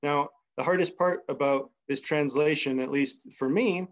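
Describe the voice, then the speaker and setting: A young man giving an academic seminar talk over a video call, unhurried and thinking aloud with many pauses. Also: fairly high pitch. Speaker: seminar speaker. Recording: slightly muffled video call audio.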